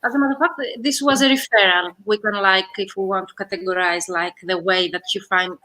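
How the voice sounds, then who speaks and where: A woman's voice: medium pitch, fairly even intonation, brisk, pushed and bright, slight background noise. A woman business coach hosting a live online interview, talking conversationally with frequent fillers over a video call.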